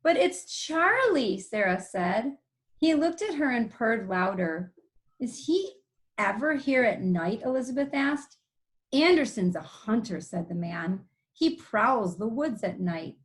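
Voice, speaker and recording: A woman's voice: fairly high pitch, very animated, slow pace. Woman teacher reading a storybook aloud. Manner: explains simply to young listeners. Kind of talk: picture book read-aloud with explanatory asides.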